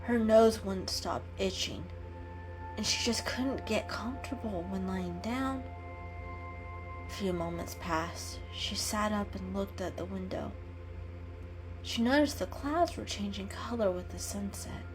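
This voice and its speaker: A woman's voice: mid-range voice, expressive, a little slow, rough recording. Woman storyteller reading over music. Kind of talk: dramatic reading of a children's animal story. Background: background music.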